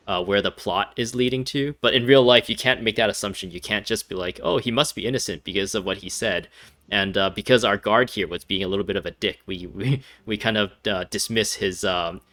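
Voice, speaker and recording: A man's voice: fairly low pitch, bright, forceful tone, no room sound. A young man doing casual game commentary, rambling and hesitant as he thinks aloud. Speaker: gaming video host.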